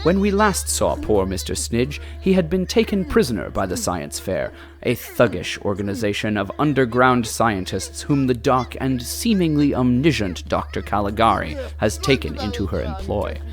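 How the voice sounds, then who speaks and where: An actor playing a theatrical radio narrator who delivers ornate, melodramatic horror commentary. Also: male voice, lowish voice, sing-song, normal speed, hardly any pauses. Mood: grave, arch. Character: theatrical male radio narrator, dramatic and urgently horrified. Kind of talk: old-time radio style horror commentary. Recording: music bed under the voice.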